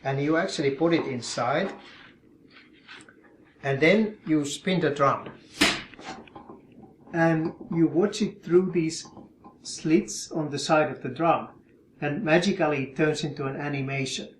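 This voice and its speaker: A man from Finland, a professor calmly explaining and demonstrating his collection in his office in an interview. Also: fairly high pitch, a little slow, pauses often, background noise. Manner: calm, explanatory, demonstrating objects as he talks.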